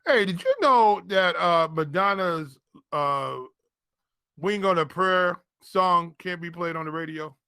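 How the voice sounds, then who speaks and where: A man co-hosts a casual sports show in a fairly high, bright voice. He talks in halting bursts, doubling back on his phrases, and is recorded dry and close. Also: clear, resonant tone, no echo. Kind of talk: casual fantasy football banter.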